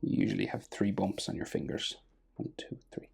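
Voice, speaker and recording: An Irish man with a gravelly, husky voice, casually talking through a drawing lesson step by step and trailing off quietly as he works. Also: low-pitched, normal speed. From Ireland. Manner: relaxed, thinking aloud while drawing, trailing off quietly. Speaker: drawing tutor.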